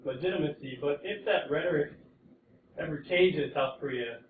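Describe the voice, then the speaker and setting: A man's voice: a little high, normal speed, a little hiss or hum. Stumbling audience man in echoey hall. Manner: stumbling, repeats words. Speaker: audience member. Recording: echoey hall, far mic, phone-like.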